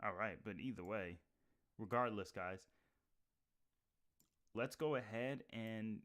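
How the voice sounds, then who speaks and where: A man's voice: lowish voice, slow, fairly bright tone. A man hosting a casual live stream, talking in a rambling way with lots of restarts and fillers. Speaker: livestream host. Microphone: computer mic with webcam.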